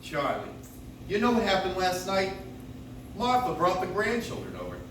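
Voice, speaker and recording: A weary, dignified old judge acted by a man blusters and hesitates in a high, very animated voice. It is old-time radio play acting, recorded in an echoey, noisy room. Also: normal speed. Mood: worried, flustered. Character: flustered grandfatherly judge, weary, evasive, dignified. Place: coffee shop stage, recorded from the audience. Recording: noisy, very echoey live room, distant mic.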